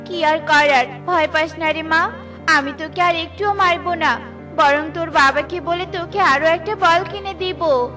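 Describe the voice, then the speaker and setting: A woman plays a gentle mother bird in a children's cartoon. The voice is high and comforting, forgiving in tone, with music behind. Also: a little slow. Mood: sympathetic.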